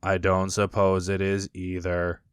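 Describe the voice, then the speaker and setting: Man playing a glum old donkey, low, slow deadpan drawl, dry sarcasm. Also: smooth, dry recording. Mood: gloomy.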